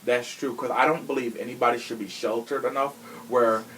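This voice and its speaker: A man's voice: mid-range voice, steady tone, fairly quick, a bit bright, slight background noise, rough recording. A man hosting a podcast in a casual chat, with a sassy, slangy and opinionated way of talking. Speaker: podcast host.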